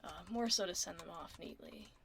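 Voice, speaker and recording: Actress as laid-back werewolf office admin, tomboyish, soft ASMR delivery with plenty of fry, close mic, faint noise. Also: female voice, lowish voice, brisk. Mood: easygoing, reassuring. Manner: casual, reassuring, wry, murmuring. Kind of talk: ASMR roleplay, soft casual conversation. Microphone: close binaural ASMR microphone.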